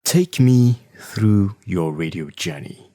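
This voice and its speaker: A man's voice: sits low, animated, slow, warm tone. A man putting short, scripted questions as an interviewer.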